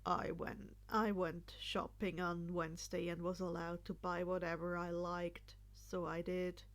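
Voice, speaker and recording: A woman voicing a quiet, oblivious teenage boy in a story, deadpan and understated. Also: fairly low pitch, measured pace, voice pushed down.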